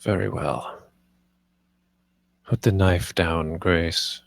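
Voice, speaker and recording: An actor as a grave, deep-voiced lord. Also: male voice, steady tone, gravelly, no echo, exaggerated voice. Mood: stern authority. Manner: deliberate, authoritative. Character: grave, commanding lord and master of a household. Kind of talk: screenplay table read.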